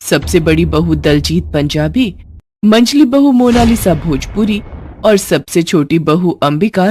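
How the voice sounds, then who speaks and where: A woman storyteller giving expressive scripted narration. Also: on the low side.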